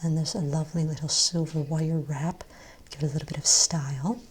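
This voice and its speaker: Soft-spoken, low-pitched woman, near-whispering ASMR. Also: steady tone, breathy edge. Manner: gentle, unhurried, reassuring shopkeeper patter. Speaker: ASMR role-play performer as wand shop owner. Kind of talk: soft-spoken ASMR role play, improvised sales patter.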